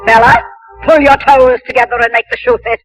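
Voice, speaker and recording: A woman's voice: fairly even intonation, brisk, dry recording, faint music behind, lightly acted, low-quality recording. A woman playing a bossy, scheming stepmother with theatrical wails and commands in a 1950s radio drama. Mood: bossy, urgent. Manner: shrill, theatrical wailing and commanding. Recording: old 1950s radio broadcast recording.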